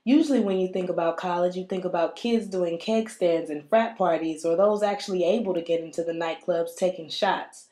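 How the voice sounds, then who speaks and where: Female reporter, young, lowish mellow voice, scripted feature narration, echoey room. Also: brisk, few pauses.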